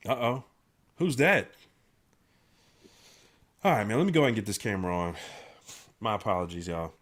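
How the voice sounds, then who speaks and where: A man's voice: fairly low pitch, big pitch swings, normal speed, a bit bright. Nasal laid-back man streaming. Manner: laid-back, slangy, many fillers and restarts. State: nasal from a sinus infection. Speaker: livestream host. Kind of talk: casual livestream chat.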